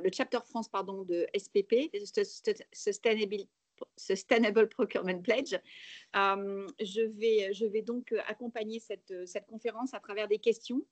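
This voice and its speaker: A woman moderating an interview, asking polite, somewhat halting questions. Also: mid-range voice, darkish tone, dry recording.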